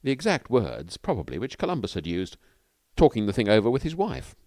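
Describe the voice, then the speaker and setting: A man's voice: medium pitch, huge pitch swings, fairly quick, a little mellow, no echo, studio quality. A man reading a comic novel aloud as scripted audiobook narration.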